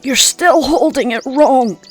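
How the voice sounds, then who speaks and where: A woman's voice: high-pitched, slow pace, breathy edge, exaggerated voice. A woman playing a nagging old huntress, music behind. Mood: scolding. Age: older. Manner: nagging, blunt, scornful. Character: gruff, scolding old woman, veteran hunting mentor. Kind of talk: audio roleplay drama acting. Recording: music under the voice.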